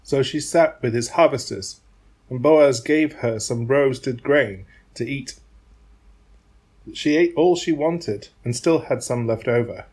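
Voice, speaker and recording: A man's voice: mid-range voice, takes it slowly, darkish tone, slightly rough. A man narrating a dramatised Bible reading from a script.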